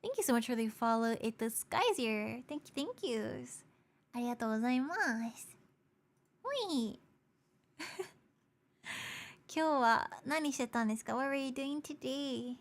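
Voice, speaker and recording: Young female streamer, hoarse from overuse, raised pitch, chatty and upbeat. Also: animated, normal speed, pauses often. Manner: bubbly and chatty, greets viewers, frequent giggles. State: hoarse, losing her voice. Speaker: VTuber streamer. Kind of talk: casual livestream chat, switching between English and Japanese.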